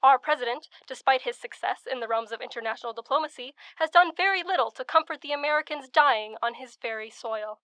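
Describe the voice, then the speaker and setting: A woman's voice: high voice, brisk, few pauses, fairly bright tone, clean tone, no echo. A woman playing a grave, dramatic old-time radio news correspondent, delivering scripted commentary in a solemn, oratorical way.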